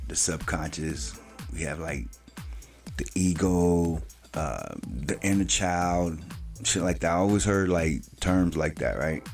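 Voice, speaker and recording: Man in his late 40s, very low voice, slangy and swearing, casual vlog talk, faint music behind. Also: darkish tone. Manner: loose and slangy, swears freely, filler-heavy. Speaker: channel host.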